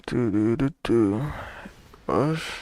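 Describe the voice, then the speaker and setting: A man's voice: mid-range voice, lively intonation, extremely slow, darkish tone, no echo. A man giving slangy, offhand commentary as he plays a video game. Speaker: gamer reacting to a story quest.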